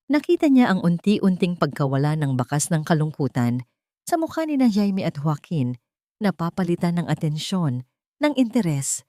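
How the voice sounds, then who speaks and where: A woman's voice: sits low, dark tone, dry recording, studio quality. A woman narrating a scripted dramatic story in an emotive way, with short, weighted phrases.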